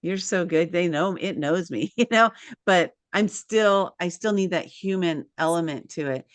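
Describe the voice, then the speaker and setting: A woman's voice: lowish voice, measured pace, no room sound. A woman hosting a casual author chat, telling stories and acting out her own quotes. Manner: animated storyteller, acts out quotes. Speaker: host.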